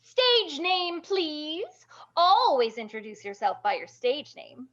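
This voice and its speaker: A woman playing a brash, bubbly, blunt burlesque veteran in an animated table read, gushing and bossy with heavy emphasis. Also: high voice, slow, muffled recording, heavily acted.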